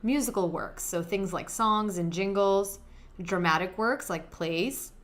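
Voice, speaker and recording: A woman's voice: medium pitch, measured pace, fairly bright tone. A young adult woman hosting a podcast, explaining legal topics step by step in a friendly, direct way with a soothing voice. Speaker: podcast host, lawyer.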